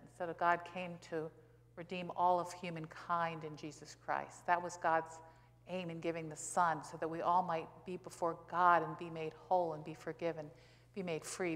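An American woman in her sixties, a pastor giving a sermon in a conversational, emphatic way with rhetorical questions and pauses for stress. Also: fairly low pitch, a bit bright. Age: 60s. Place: church sanctuary, indoors at a pulpit. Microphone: pulpit gooseneck microphone. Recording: reverberant church hall.

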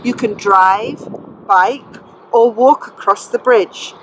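A middle-aged woman with a slightly husky voice, presenting in a deliberate, explanatory way to children as a school librarian. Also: medium pitch, noisy background. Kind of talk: educational on-location narration for children.

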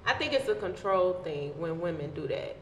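A woman's voice: lowish voice, animated, normal speed. A woman co-hosting a casual podcast discussion, arguing her opinions emphatically. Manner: emphatic, argumentative. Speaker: podcast host. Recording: echoey room with background noise.

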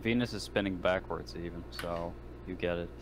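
A man's voice: sits low, steady tone, normal speed. A man playing an online roleplaying game, casually asking questions and explaining details, with some swearing. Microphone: online voice call. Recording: faint background noise.

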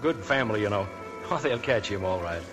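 A man plays a deferential, chatty hotel clerk in old radio drama. He speaks quickly with lively swoops, music loud behind him. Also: lowish voice, natural voice. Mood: chatty, obliging. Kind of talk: 1940s radio acting.

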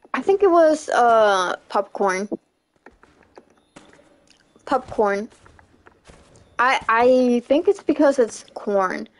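A young woman streaming a game, chatting casually with viewers and laughing often. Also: on the high side. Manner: chatty, laughs often, repeats "you know what I'm saying". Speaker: livestreamer. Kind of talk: casual chat while gaming, reading chat.

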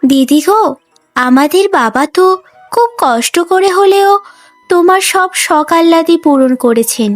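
A woman's voice: high voice, expressive, unhurried. A woman voicing a sweet, selfless younger daughter in a cartoon story, earnest and affectionate. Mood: hurt, pleading. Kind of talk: Bengali cartoon story dubbing. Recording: music bed under the voice.

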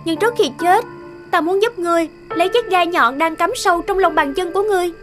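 A woman's voice: extremely high pitch, fairly quick, music in the background. A woman voicing a brave, earnest young princess in a fairy-tale cartoon, speaking in a formal, resolute way. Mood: gentle, earnest.

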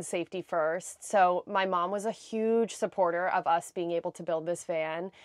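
A woman's voice: on the low side, no echo, studio quality. A young American woman giving a chatty, explanatory walkthrough tour inside a small camper van, speaking casually into a clip-on mic. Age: young adult. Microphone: clip-on lapel mic.

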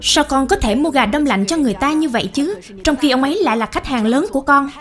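A woman's voice: sits high, animated, quick pace, faint music behind. A woman voicing an insistent, doting older country mother in a moral cartoon, speaking firmly and brushing off objections. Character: doting, insistent elderly rural mother of a businessman. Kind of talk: dubbed moral cartoon dialogue.

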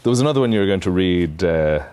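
Man, 30s, interviewer, very low voice, polite, stammering, studio lapel mic. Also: expressive, fast talker, darkish tone, clean tone. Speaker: interviewer, professor. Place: TV studio set.

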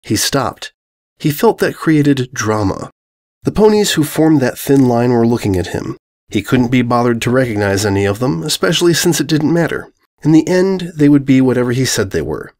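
A male narrator with a mid-pitched voice reads a comic fan story from a script. His delivery is dry and wry throughout. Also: lively intonation, moderate pace, a little mellow.

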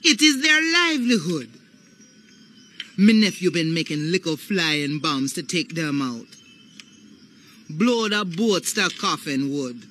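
An actress playing a sly older Haitian voodoo matriarch with a Caribbean accent, coaxing and ominous in a video game. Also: female voice, lowish voice, big pitch swings, slow, pauses often, fairly bright tone, slight background noise, heavily acted. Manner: coaxing, ominous, dialect phrasing. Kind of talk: video game cutscene acting.

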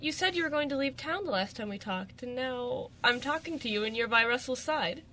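A woman's voice: sits high, expressive, fairly quick, bright, forceful tone. A woman playing an affectionate, anxious girlfriend, emotive and tender, in an amateur radio drama. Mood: grateful, affectionate. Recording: noticeable background noise.

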